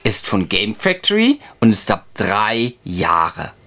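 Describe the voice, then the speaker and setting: A man's voice: fairly low pitch, huge pitch swings, slow, sharp tone. A man, casually and patiently explaining a children's game to a child in an encouraging way. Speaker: father playing and explaining a children's board game. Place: indoors at a table.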